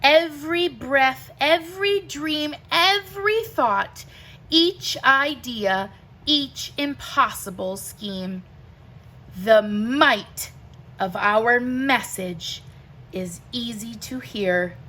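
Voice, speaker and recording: A woman performs an expressive picture-book read-aloud in a bright, forward voice that sits a little high. She takes the rhyming verse extremely slowly. Each phrase is deliberate and emphatic, set apart by pauses. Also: low-quality recording.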